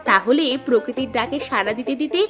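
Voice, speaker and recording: A woman voicing a nagging, teasing young village wife with a sharp tongue, in a folk-tale cartoon. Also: a little high, quick pace, natural voice. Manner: scolding, teasing. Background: background music.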